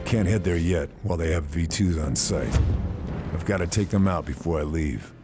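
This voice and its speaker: An actor playing a resolute wartime sniper operative, narrating in a terse, grave mission-briefing style for a video game. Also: male voice, deep voice, music in the background. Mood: grim, determined. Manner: terse, grave, deliberate phrasing.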